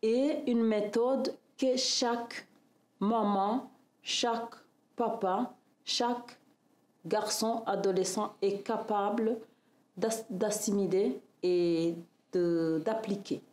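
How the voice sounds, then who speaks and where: A woman from Rwanda in her fifties, a nutritionist describing her work in a measured interview, with pauses and hesitations. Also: mid-range voice, fairly even intonation, a little mellow, echoey room. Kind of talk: interview testimony.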